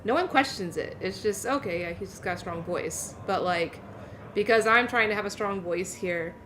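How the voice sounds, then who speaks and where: A woman's voice: mid-range voice, moderate pace, fairly bright tone, low-quality recording. Woman making her point over and over, faint hiss behind. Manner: emphatic, repeats points for weight. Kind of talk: casual group chat.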